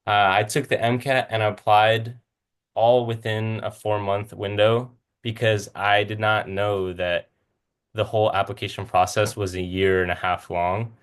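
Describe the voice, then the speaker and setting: A man's voice: fairly low pitch, flat delivery, a little slow, clear, resonant tone. A man in his twenties with a Californian American accent, giving an informal, self-deprecating slide presentation as a student speaker. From Southern California, United States. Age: 20s. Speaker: student speaker, medical school applicant.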